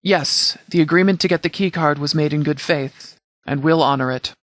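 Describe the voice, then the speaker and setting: A man playing a no-nonsense sheriff's department commander in a video game, speaking formally and precisely in measured, scripted briefing style. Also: on the high side, fairly bright tone, dry recording, close to an everyday voice. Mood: matter-of-fact.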